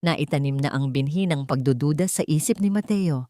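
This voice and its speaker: A woman narrates a dramatic story. Her voice sits a little low for a woman, and the sound is dry and close, as scripted narration. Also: fairly quick, warm tone, no room sound.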